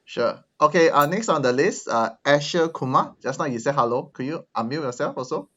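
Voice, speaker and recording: A man's voice: fairly high pitch, expressive, brisk, a bit bright, dull audio. A man hosting an online user group meetup, talking casually with lots of fillers and restarts. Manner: hesitant, many fillers. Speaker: meetup host. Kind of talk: casual video call chat. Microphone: video call.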